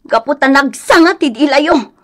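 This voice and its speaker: An actress playing a wronged, indignant village wife in a Filipino radio drama, accusing and emotional. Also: female voice, high voice, expressive, moderate pace. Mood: bitterly accusing.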